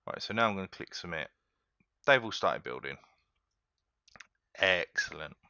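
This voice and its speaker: A deep-voiced man talks viewers through a game step by step, relaxed and chatty. His voice is bright and smooth, recorded dry on a slightly dull home mic. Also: normal speed, dry recording. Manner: relaxed, chatty, keeps addressing viewers as guys. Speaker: gaming tutorial host. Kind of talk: casual step-by-step game tutorial.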